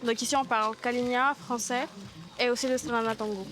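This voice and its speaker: A woman's voice: a little high, fairly even intonation, fairly quick, a bit bright. A woman from Guiana, answering an interview earnestly and repeating words for emphasis. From French Guiana. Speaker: village activist interviewee. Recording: music behind the voice. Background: background music.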